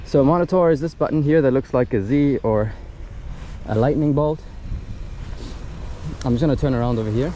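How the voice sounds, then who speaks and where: A man's voice: mid-range voice, moderate pace, pauses often. Man demonstrating a gadget outdoors, noisy background. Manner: explanatory, step by step. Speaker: gadget reviewer. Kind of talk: casual hands-on product demonstration. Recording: loud wind and outdoor ambient noise.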